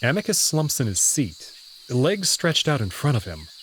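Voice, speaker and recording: Lively male first-person story narrator. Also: medium pitch, normal speed, a little hiss or hum. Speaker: narrator and reader of the player character.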